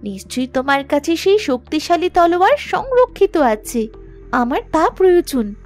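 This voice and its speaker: A woman's voice: high-pitched, sing-song, moderate pace, faint music behind. A woman voicing a brave, earnest young princess in a fairy-tale cartoon, speaking expressively. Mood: pleading, resolute.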